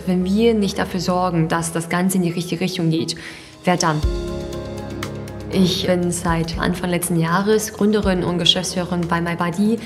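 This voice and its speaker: A woman's voice: fairly low pitch, in a large hall. A woman in her twenties from China, speaking earnestly and reflectively as a startup founder, with small hesitations. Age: 20s. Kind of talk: personal portrait interview.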